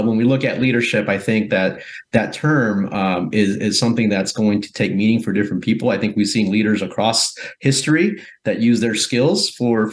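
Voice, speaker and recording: Man from Guatemala, fairly low voice, measured, pauses, restarts, interview guest. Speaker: guest, corporate philanthropy executive. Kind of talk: reflective interview answers.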